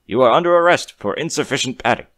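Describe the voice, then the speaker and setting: A man's voice: mid-range voice, expressive, fast, a bit bright, no echo, studio quality, pitched above the performer's own voice, lightly acted. A man voicing a formal, commanding pony guard leader in a fantasy story. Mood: stern, commanding. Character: stern, dutiful male pony guard leader.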